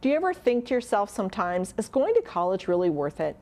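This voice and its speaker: A woman's voice: medium pitch, sing-song, quick pace, warm tone. A woman working as a college adviser delivers a scripted motivational talk addressed straight to the listener.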